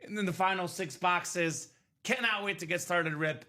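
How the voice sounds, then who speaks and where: Man hosting a live card break, bright and a touch high, upbeat sales talk, voice slightly worn. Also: normal speed. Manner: upbeat, salesy running commentary. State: voice a bit worn from singing. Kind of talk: live stream selling chat.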